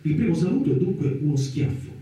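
Echoey far-off radio theatre, guy acting a solemn thinker monologue, super mellow dark voice, slow dramatic pauses. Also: fairly high pitch, animated, close to an everyday voice. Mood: grave, confiding. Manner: solemn, deliberate, rhetorical pauses. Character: narrator-thinker musing on the universe, then addressing Freud.

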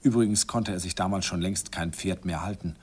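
An actor playing a nostalgic older man who recalls his shy first love in an unhurried, wistful radio play narration. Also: deep voice, slightly rough. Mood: wistful, reminiscing. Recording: vintage radio recording with music behind.

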